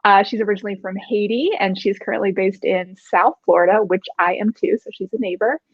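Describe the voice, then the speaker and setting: A woman's voice: medium pitch, fairly even intonation, brisk, no echo. Female host, conversational and encouraging; dull, dry, lo-fi sound. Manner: warm, supportive, conversational. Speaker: interview host. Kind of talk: hosting an interview.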